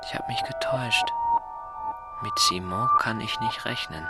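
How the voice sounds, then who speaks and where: An actor playing an observant, solitary man reciting his private notes in a radio drama, in a measured, matter-of-fact way. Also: fairly low pitch, lightly acted. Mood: disappointed. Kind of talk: scripted radio drama monologue. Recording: prominent music under the voice.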